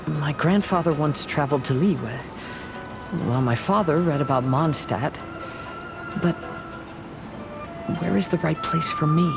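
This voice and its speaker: An actor playing a serene young wandering samurai poet in a game, speaking gently in a measured, poetic way. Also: male voice, a little high, gravelly, music in the background. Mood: wistful.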